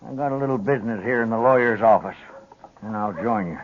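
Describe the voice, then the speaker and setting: A man's voice: lowish voice, fast, natural voice. An American actor playing an older, plain-spoken, stubborn rancher father with a folksy drawl in a 1950s radio western. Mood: fatherly, steady. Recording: muffled vintage radio recording.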